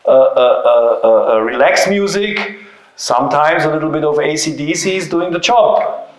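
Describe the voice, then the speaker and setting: A man with a German accent, a native German speaker, presenting informally to a seminar audience in a didactic way, with short emphatic phrases and rhetorical questions. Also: on the high side. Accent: German-speaking European. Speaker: seminar presenter, company founder. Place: indoor seminar room. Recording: very echoey, reverberant room.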